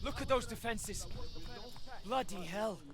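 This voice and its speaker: A man's voice: sits high, unhurried, over music. A man playing a soldier reporting to his sergeant in a war video game. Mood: tense, awed.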